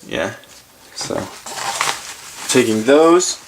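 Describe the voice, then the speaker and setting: A man giving a casual, unscripted walkthrough in a halting, informal way, as if thinking aloud, indoors on a handheld camera. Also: medium pitch, sing-song. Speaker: hiker presenting his gear. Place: indoors in a room at home. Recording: background hiss, handling clicks and crinkling.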